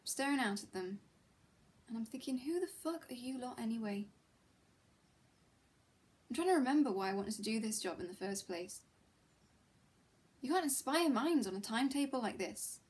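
Actress as frustrated, miserable female teacher, higher register, intimate and intense dramatic monologue, halting delivery. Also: lively intonation, darkish tone, natural voice. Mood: weary, despairing. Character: disillusioned, miserable woman schoolteacher, passionate and frustrated. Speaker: actor performing a monologue.

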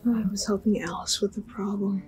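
A woman voicing a gentle young apprentice mage in an anime fandub, soft, reflective and a little hesitant. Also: mid-range voice, steady tone, fairly quick, close to an everyday voice. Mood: quiet, casual. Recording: music under the voice.

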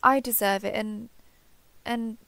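A woman playing an earnest, affectionate starship captain in an intimate sci-fi audio roleplay, speaking haltingly and confessionally. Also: on the high side, steady tone, gravelly, a bit bright, no room sound, heavily acted. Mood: flustered, tender. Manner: hesitant, halting, apologetic, confessional.

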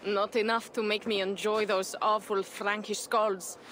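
A blunt Viking jarl. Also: male voice, high-pitched, little pitch movement, a little slow, sharp tone, a little hiss or hum. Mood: wry. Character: blunt Viking jarl, hearty, eager to raid.

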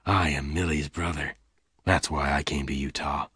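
Man playing a very low, quiet, grave gunman. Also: fairly even intonation, slightly rough, no echo, clean studio sound, full character voice. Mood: solemn. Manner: soft, deliberate, solemn delivery. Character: gruff, gentle older gunman, quiet and grave. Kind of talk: dramatised audiobook dialogue.

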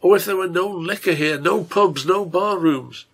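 A man's voice: mid-range voice, lively intonation, normal speed, creaky, fairly bright tone, breathy edge, full character voice. A man voicing a remorseful drunkard father in a story, tender and anguished, pleading with a breaking voice. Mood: bitter self-reproach. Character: wretched guilt-ridden drunkard father, tender, despairing.

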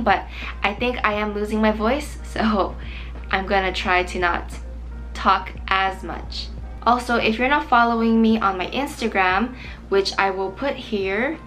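A young woman in her twenties chatting to camera while doing her makeup, her voice a little strained and hoarse from overuse. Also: medium pitch, fairly bright tone, poor audio. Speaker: vlogger. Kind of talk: casual makeup chat to camera. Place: small bright room indoors.

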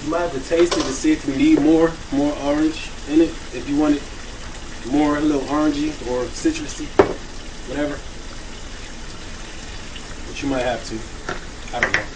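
A man with a somewhat high voice walks viewers through a recipe in a loose, informal way. He sounds set back from the microphone in a home kitchen, with loud sizzling and noise around him. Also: steady tone, normal speed, long pauses. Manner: informal, addresses viewers as y'all. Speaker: home cooking show host. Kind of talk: casual cooking demonstration. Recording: distant mic, loud frying kitchen noise. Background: frying food sizzle.

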